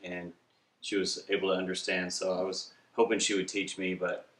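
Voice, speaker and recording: A man in his thirties chatting casually and haltingly as a good-humoured, teasing vlogging dad. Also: deep voice, completely flat delivery, a bit bright. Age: 30s. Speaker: vlogger father. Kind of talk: casual couple Q&A chat. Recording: roomy indoor echo.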